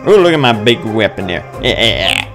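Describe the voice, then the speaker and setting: A young man playing a video game and commenting on it, muttering slowly and then yelping loudly in fights, with faint game music behind. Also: on the low side, very animated. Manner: slow, mumbling asides that jump to loud yelps in fights. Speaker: gaming video host. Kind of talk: let's play commentary. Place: indoor room with webcam.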